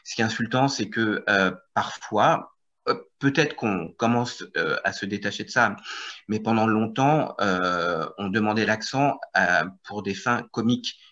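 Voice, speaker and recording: A man speaking as a guest on an online panel, measured and firm, pausing with fillers. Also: on the low side, sharp tone, lo-fi sound. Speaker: screenwriter and director, panel guest.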